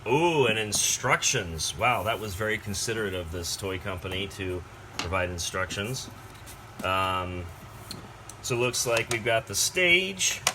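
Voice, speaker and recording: A man's voice: on the low side, lively intonation, unhurried, bright, forceful tone. A man giving a casual, rambling unboxing commentary with wry asides, as a collector showing off a toy. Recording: noisy homemade video recording.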